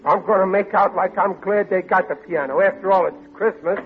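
An American actor playing a stubborn, soft-hearted middle-aged family man who stammers ungrammatically in a 1940s radio comedy. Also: sits high, a little fast, a little hiss or hum. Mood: defensive, soft. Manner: ungrammatical, stammering, exasperated bluster. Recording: dull vintage radio recording.

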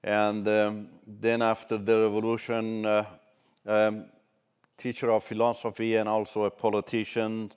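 Slow, halting older man, smooth voice. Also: lowish voice, completely flat delivery, darkish tone. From Iran. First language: Turkish. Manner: measured, halting with pauses. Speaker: ministry speaker. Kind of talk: personal testimony talk.

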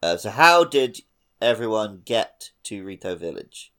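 Easygoing man, low voice. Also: sing-song, measured pace, sharp tone. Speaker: podcast co-host. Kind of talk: casual gaming podcast chat.

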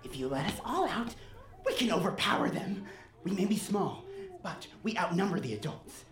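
A woman's voice: on the low side, lively intonation, normal speed, crowd noise, lightly acted. A woman acting in an audio drama as an intense, persuasive captive child, speaking earnestly and urgently. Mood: insistent, urgent. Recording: roomy, echoing student audio drama.

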